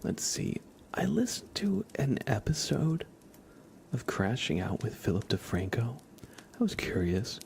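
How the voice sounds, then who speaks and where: A man hosting an ASMR livestream, chatting softly and casually in a hushed, unhurried way that often slips into whispering. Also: mid-range voice, sing-song, gravelly. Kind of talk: soft ASMR livestream chat.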